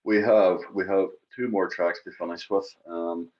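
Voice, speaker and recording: A man's voice: sits low, fairly even intonation, measured pace. A man hosting a music podcast in casual, bantering radio-style chat. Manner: informal, bantering. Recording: dull, lo-fi sound.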